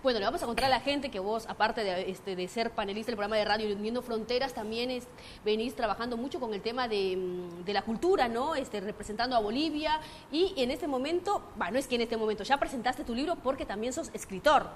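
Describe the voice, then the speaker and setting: A woman's voice: a little high, brisk, a little hiss or hum. A young woman hosting a TV studio interview on a lapel mic, chatty and full of fillers. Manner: chatty, uses voseo and fillers. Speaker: TV host.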